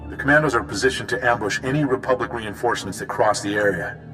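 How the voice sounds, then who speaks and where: An actor playing a grave, dutiful military commander of a prisoner unit in a video game, speaking earnestly and formally. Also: male voice, medium pitch, fast talker, close to an everyday voice. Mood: businesslike. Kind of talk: video game dramatic dialogue. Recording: long reverb, loud music underneath.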